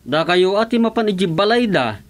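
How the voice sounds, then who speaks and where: An actor playing a dutiful village official in a radio comedy drama, explaining deferentially with an Ilocano accent. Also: male voice, high voice, big pitch swings, moderate pace. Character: village official dutifully reporting to his chairman.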